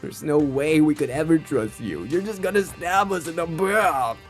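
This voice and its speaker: A man streaming a visual novel, reading dialogue aloud and reacting in a theatrical, halting way. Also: a little high, faint music behind. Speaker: gaming streamer.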